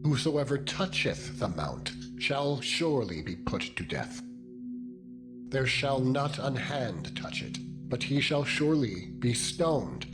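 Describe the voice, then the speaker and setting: A man voicing a solemn, commanding God, unhurried. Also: mid-range voice. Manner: solemn, authoritative, archaic phrasing. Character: the Lord God, solemn commanding male deity. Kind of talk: dramatized scripture reading. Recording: loud music under the voice. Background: loud music.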